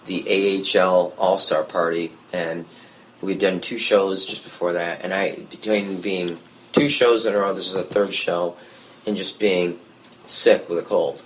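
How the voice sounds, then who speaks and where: A man, a guest musician, telling lively stories in a casual podcast conversation with jokey asides. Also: deep voice, measured pace, slight background noise, rough recording. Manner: storytelling, animated, jokey asides.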